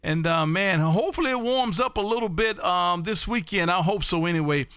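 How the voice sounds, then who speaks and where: An American man calling out as an amateur radio live streamer, loud and showy, often repeating his phrases. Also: high-pitched, fairly quick, clean tone, no echo, clean studio sound. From Texas. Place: home radio room.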